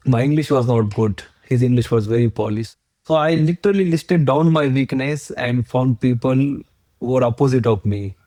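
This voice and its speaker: A man's voice: medium pitch, a little mellow, no echo. A man in his forties from India with an Indian accent, a podcast guest who explains his points earnestly, step by step, with examples. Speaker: agency founder, podcast guest. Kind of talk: podcast interview.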